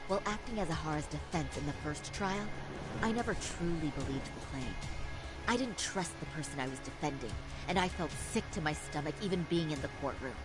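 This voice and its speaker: A woman's voice: low voice, animated, fairly quick, loud music behind the voice, rough recording. An actress playing a resolute woman lawyer in a crime drama game, formal and precise in her delivery. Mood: indignant, resolute. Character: composed, steely woman lawyer with quiet indignation. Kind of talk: dramatic English game voice acting.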